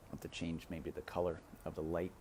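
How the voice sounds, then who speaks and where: A man reading a children's story aloud in a dramatic, deliberate manner, addressing the listener directly. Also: deep voice, flat delivery, a little mellow, slight background noise. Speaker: read-aloud narrator. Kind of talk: expressive storybook read-aloud.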